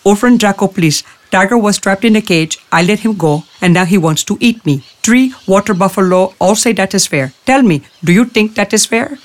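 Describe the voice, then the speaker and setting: A frightened Brahmin priest begging for help in a storybook fable, read by a woman. His lines tumble out in a hurried plea, and the pitch swings up and down. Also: lively intonation, lightly acted. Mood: pleading. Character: frightened pleading old Brahmin priest, male.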